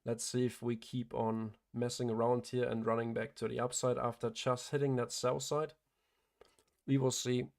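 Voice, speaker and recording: Hoarse, gravelly man calling trades live. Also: medium pitch, flat delivery, moderate pace, darkish tone. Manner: matter-of-fact, clears his throat often. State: hoarse, losing his voice. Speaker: day trader hosting a livestream. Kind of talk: live trading commentary.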